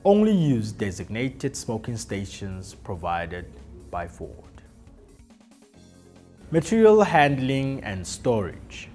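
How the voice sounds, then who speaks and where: Slow, lively-voiced male safety presenter. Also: medium pitch. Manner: slow, deliberate, emphatic list delivery. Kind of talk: scripted corporate safety narration. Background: soft background music.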